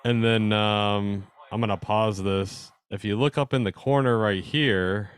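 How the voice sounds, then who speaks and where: A man's voice: lowish voice, steady tone, a little slow, clear, resonant tone. A man giving an informal slideshow presentation to a radio club, explaining technical details in a conversational, interested way.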